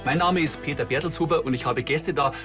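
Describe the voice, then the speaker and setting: An actor playing a chirpy small-town radio DJ with hammy upbeat announcer patter. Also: male voice, a little high, fast talker, over music, lightly acted.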